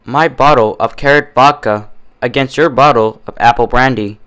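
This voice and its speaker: A man's voice: mid-range voice, moderate pace, fairly bright tone, smooth, natural voice. A man voicing a teasing, argumentative female carrot-farmer pony in a cartoon fan-fiction reading. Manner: needling, argumentative.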